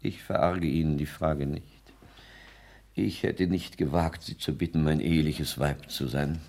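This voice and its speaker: Radio play role: high-flown male poet lodger, low creaky voice, grandiloquent and self-absorbed. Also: fairly even intonation, unhurried, a little mellow, exaggerated voice. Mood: solemn, exalted. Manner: grandiloquent, declamatory. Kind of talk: 1960s German radio play acting.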